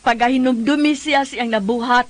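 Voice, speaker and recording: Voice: medium pitch, steady tone, normal speed. A Filipino co-host with a Cebuano accent talks in casual banter. The delivery is conversational, handing out advice as if among friends. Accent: Filipino, Cebuano-speaking. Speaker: co-host giving advice to letter senders.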